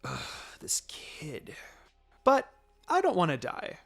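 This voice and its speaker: A man voicing a young female ninja in a story reading, firm and sardonic but secretly soft-hearted. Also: fairly high pitch, huge pitch swings, takes it slowly, slightly rough, full character voice. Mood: exasperated.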